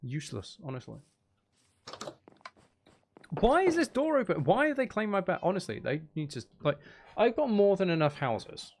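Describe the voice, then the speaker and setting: A man chats casually through a live game stream, talking half to himself. His voice sits fairly high and swings widely in pitch, with wry, exasperated asides. Faint noise runs behind him. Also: sing-song, normal speed, long pauses. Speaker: streamer playing a video game. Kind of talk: casual live gaming commentary.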